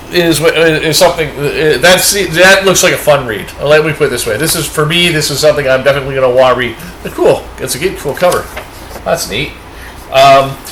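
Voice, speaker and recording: Brief: upbeat Canadian male comic reviewer, bright slightly high voice, rambling casual show-and-tell. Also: a little hiss or hum. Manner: rambling and upbeat, with many fillers. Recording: echoey room.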